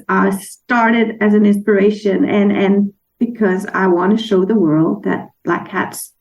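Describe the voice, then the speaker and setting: Gravelly-voiced woman from Denmark. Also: medium pitch, low-quality recording. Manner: warm storytelling with restarts and searching for words. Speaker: guest author. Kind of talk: casual interview chat.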